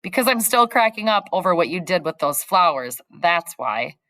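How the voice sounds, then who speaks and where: A woman's voice: on the low side, very animated, moderate pace, a bit bright, no echo. A woman voicing a confident, friendly schoolgirl who speaks frankly.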